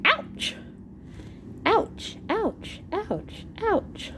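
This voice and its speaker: A woman acts out a tiny cartoon finger, the put-upon test subject of a science comic. The voice is high and swooping, exclamatory and full of complaint, with faint noise behind it. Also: wildly swooping pitch, extremely slow, pushed and bright, full character voice. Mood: pained. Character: small cartoon finger, a put-upon, yelping guinea pig. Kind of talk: character voice in a children's science comic.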